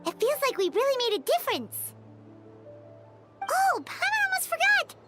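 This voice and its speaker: A woman voicing a tiny, excitable childlike fairy girl in a bubbly, exclamatory way for a video game fan dub. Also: very high voice, moderate pace, soft background music, exaggerated voice. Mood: excited.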